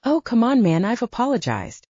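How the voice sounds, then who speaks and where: A woman's voice: on the high side, very animated, normal speed, warm tone, no room sound, dull audio, pitched above the performer's own voice. A woman voicing a hot-headed, defiant male werewolf soldier in an audiobook, brash and foul-mouthed. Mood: grudging apology. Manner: brash, profane.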